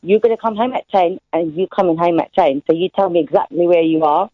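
A woman's voice: lowish voice, fast, no room sound. Chatty woman caller on a tinny phone line. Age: 30s. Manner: chatty and effusive. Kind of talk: casual phone-in chat. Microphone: telephone.

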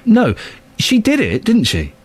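A man's voice: high-pitched, wildly swooping pitch, a little slow, slightly rough, no room sound. A man hosting a radio show, blunt and teasing, often stumbling over his words and restarting. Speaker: radio host. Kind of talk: casual radio chat. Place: radio studio. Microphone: studio microphone.

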